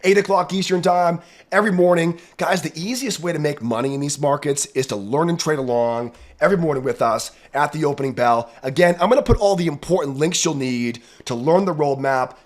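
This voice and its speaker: Raspy man with a head cold. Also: a little high, normal speed. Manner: emphatic, repeats "right?" for confirmation. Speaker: trading educator. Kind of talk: chart walkthrough commentary.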